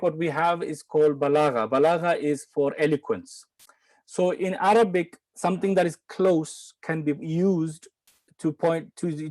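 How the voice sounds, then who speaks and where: A man from Bangladesh answering questions in an online Quran lesson, patient and explaining slowly with repeats and apologies. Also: on the high side, a little mellow. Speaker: Quran teacher.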